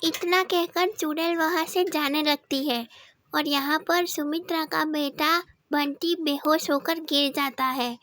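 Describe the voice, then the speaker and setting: A woman's voice: high voice, fairly even intonation, measured pace, fairly bright tone, no echo, clean studio sound. A woman narrating a scripted cartoon story for children as its storyteller.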